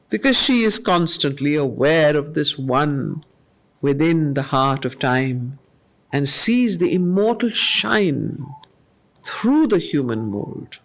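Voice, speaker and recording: A woman leads a study circle, reciting poetry at a very unhurried pace. She repeats key lines with weight before unpacking their spiritual meaning. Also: deep voice, fairly bright tone. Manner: slow, measured recitation, repeating lines for emphasis. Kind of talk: reading poetry aloud with spiritual commentary. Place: meeting room of a study group.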